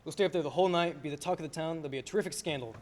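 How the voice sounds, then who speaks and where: A man's voice: a little high, rapid-fire, a little mellow, natural voice. An actor playing a restless, good-hearted young man in a radio play, folksy, hesitant and wry. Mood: eager, dreamy.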